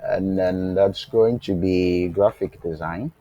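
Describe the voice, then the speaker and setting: A man with a low, mellow voice teaches coding to a live online class. He speaks slowly and deliberately and keeps asking rhetorical questions. The sound is a bit dull and lo-fi. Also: fairly even intonation. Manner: asks rhetorical "what?" questions and lets the class fill in the answer; checks on the class with "are you getting it?". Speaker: web development instructor. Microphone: remote class, voice faint at times.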